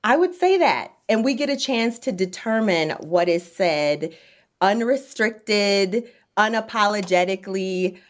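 A woman's voice: mid-range voice, animated, a little slow. A woman with an American accent, giving answers as an interview guest. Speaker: interview guest, nonprofit executive director.

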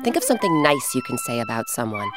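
A woman hosting and narrating a children's story in an expressive, scripted style with dramatic pauses. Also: very high-pitched. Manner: clear, animated, dramatic pauses. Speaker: host and narrator. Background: instrumental music.

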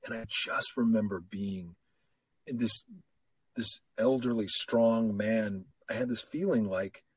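A middle-aged man, a coach and podcast guest, talking candidly and reflectively in a relaxed conversation. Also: on the low side, slow pace, husky, no echo. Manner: reflective, candid, self-deprecating. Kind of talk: casual conversation.

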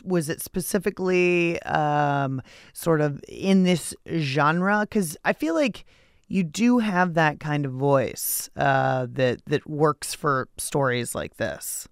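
Female interviewer, low register, informal and playful, very dry studio sound. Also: slow pace, clear, resonant tone, dry recording. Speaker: radio show host, interviewer.

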